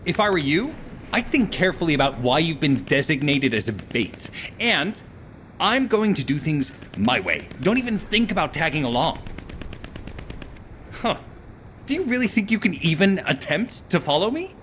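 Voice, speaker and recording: A cocky male game soldier, resentful and full of sarcasm. His highish voice leaps dramatically up and down, with a sharp, forceful edge. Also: very animated, normal speed, slight background noise. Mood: smug, scornful. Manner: sarcastic, scornful, theatrical. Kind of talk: scripted game cutscene acting.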